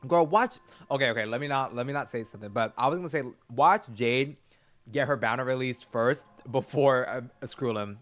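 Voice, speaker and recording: A man's voice: mid-range voice, brisk, faint music behind. Sassy young man streaming. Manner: expressive, sassy, slangy. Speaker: game streamer. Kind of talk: casual chat and reaction to a story game. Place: small dim room indoors.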